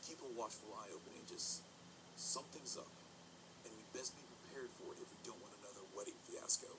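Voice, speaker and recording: A man's voice: fairly low pitch, fast, background noise, lightly acted. A man playing a wary pony soldier in an audio drama, with a formal military manner. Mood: guarded, serious.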